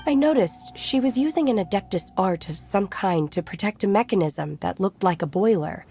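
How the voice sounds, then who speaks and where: A woman voicing a serene, literal-minded young mountain disciple in an anime-style video game, formal, measured and earnest. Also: medium pitch, animated, dark tone, breathy edge. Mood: composed. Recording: music faintly behind the voice.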